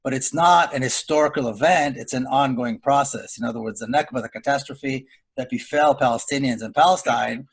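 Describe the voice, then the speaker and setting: Earnest, measured middle-aged man on a call. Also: mid-range voice, slightly rough. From Israel. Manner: deliberate, earnest, measured delivery. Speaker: panel speaker. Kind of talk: webinar round-table discussion. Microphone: video call.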